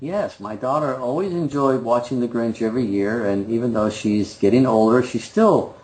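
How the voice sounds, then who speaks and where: A man's voice: mid-range voice, normal speed, darkish tone, slight background noise, poor audio. A man in his fifties co-hosting a bowling podcast in casual, joking, self-deprecating chat. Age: 50s. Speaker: podcast co-host.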